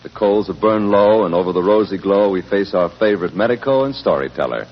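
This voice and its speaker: An American man announcing and hosting in polished 1940s radio style, with a husky voice. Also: on the low side, expressive, brisk. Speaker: announcer, host and sponsor's spokesman. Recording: muffled old radio recording with music.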